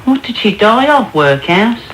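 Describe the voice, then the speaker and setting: An actor playing a cocky, cruel teenage apprentice, taunting mock-pompously in a radio play. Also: male voice, high voice, big pitch swings, normal speed, a bit bright. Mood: sneering. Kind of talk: British radio drama acting. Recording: noisy, crackly medium-wave radio tape.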